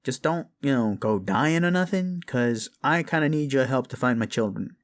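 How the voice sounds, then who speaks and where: A man's voice: mid-range voice, expressive, moderate pace, close to an everyday voice. A man voicing a small, sneaky, wisecracking raccoon scout in a fan audio drama, casual and wry. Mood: wry, supportive.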